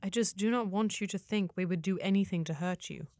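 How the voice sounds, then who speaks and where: A woman playing a calm, knowledgeable fantasy companion in an audio drama, with a formal, measured and gentle manner. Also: mid-range voice, no echo, studio quality, lightly acted. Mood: gentle, earnest. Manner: formal, measured, avoids contractions.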